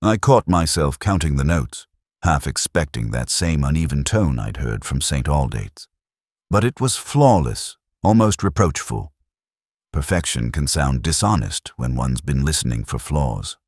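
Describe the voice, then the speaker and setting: A man playing a brooding, literary police inspector who narrates his case in a measured, reflective, melancholic way, as in a scripted audio drama. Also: extremely low pitch, animated, a little mellow, dry recording, studio quality, exaggerated voice. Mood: pensive, wary.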